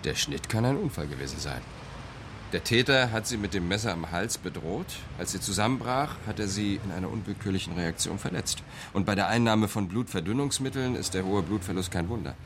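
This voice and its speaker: An actor playing a composed, matter-of-fact police captain in a radio crime drama, giving an orderly briefing. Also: male voice, lowish voice, animated, brisk, background noise. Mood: factual briefing.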